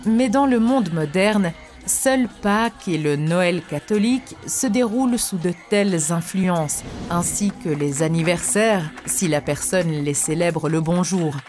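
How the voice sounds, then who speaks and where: An actress plays a wise woman teacher in an animated spiritual tale. Her voice sits a little low and she explains things in a measured, earnest way. Quiet music plays behind her. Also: natural voice. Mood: solemn, instructive. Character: wise female spiritual teacher, serene and earnest, instructing disciples. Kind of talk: scripted didactic cartoon dialogue.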